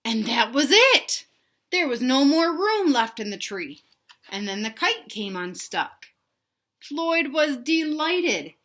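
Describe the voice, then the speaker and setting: Bright-voiced woman reading aloud to children. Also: sits high, big pitch swings, takes it slowly. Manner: asks young listeners questions, explains in simple words. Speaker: read-aloud storyteller for children. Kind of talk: picture book read-aloud with asides to children.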